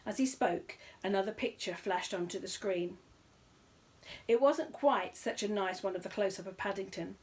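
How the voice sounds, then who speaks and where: A woman's voice: medium pitch, moderate pace, a little mellow. A woman reading a children's story aloud as storyteller, in scripted narration.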